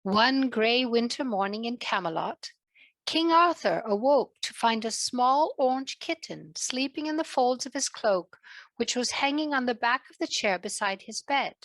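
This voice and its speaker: A woman narrating a children's story in a gentle, deliberate way, with a British accent that comes and goes. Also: fairly high pitch, animated, no room sound. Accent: British, fading in and out.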